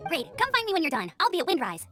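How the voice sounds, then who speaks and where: A woman's voice: extremely high pitch, wildly swooping pitch, very fast, loud music behind the voice, full character voice. A woman playing a cheerful, eager teenage girl scout in a video game, earnest and informal. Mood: upbeat, excited.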